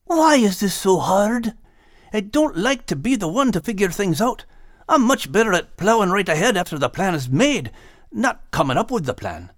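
A man's voice: sits high, big pitch swings, normal speed, dry recording, studio quality, voice pushed up. A man voicing a brave but self-doubting male dog with a Scottish accent for an audiobook. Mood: weary, frustrated.

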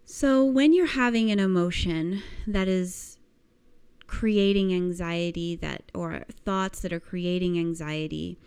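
A woman with a slightly low, smooth voice that has a small croak in it. She coaches at a very slow, deliberate pace, leaning on repetition and rhetorical questions for emphasis. The delivery is that of a motivational talk. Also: darkish tone. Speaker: life coach giving a lesson.

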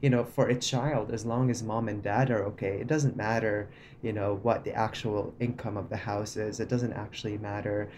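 A man's voice: on the low side, fairly even intonation, moderate pace, a little hiss or hum. A man from Lebanon, a guest founder of a nonprofit, speaking thoughtfully in a casual podcast conversation. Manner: thoughtful, with frequent restarts and soft laughs.

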